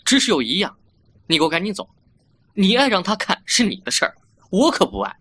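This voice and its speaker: Someone playing a bratty boyish youth, swooping pitch. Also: very animated, a little fast, fairly bright tone, a little hiss or hum. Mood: indignant. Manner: petulant, cocky, scolding. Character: bratty, mouthy young fox-spirit younger brother, boyish. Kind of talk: Chinese fan audio drama acting.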